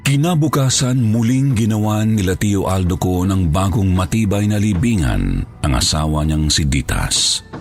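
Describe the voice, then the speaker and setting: A man's voice: low-pitched, moderate pace, a little mellow. A man narrating a scripted horror story in a dramatic, solemn manner. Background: background music.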